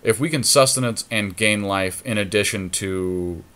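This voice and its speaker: A man with a fairly low voice talks casually through a card game. He deliberates out loud, weighing each option as a podcast co-host playing along with a partner. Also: clear, resonant tone. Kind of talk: casual chat, thinking aloud while playing a card game.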